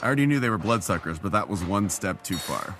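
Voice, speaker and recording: An actor playing a wry, plain-spoken companion in an audio drama, talking in a colloquial way. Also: male voice, deep voice, expressive, fast talker, music in the background. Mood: wry, sceptical.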